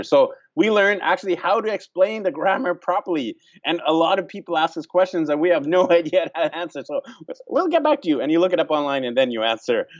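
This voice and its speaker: A man's voice: a little high, a little mellow. Man talking, dull recording. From Vancouver, Canada. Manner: many fillers, pauses to restart sentences. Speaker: host. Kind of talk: casual explanatory talk.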